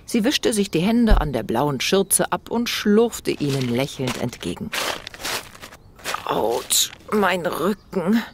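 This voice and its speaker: A woman narrating a scripted children's bedtime story in an expressive read-aloud manner. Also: sits low, unhurried, few pauses, noisy background.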